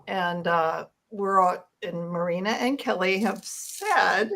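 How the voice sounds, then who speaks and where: A woman's voice: on the low side, takes it slowly, vocal fry, fairly bright tone. A woman talking casually in a group discussion.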